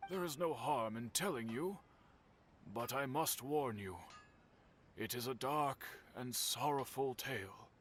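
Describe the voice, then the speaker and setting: An actor playing an older master swordsmith in a video game, courteous and grave, telling old history in a measured, formal way. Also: male voice, mid-range voice, a bit bright, slight background noise, natural voice. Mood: solemn. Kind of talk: video game character acting.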